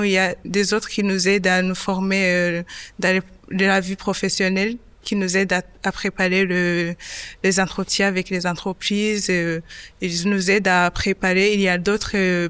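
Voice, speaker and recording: A woman in her twenties from Rwanda, answering interview questions in simple, halting French that is not her first language. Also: mid-range voice, fairly bright tone, clear, resonant tone, dry recording. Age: 20s. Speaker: interview guest, web development apprentice.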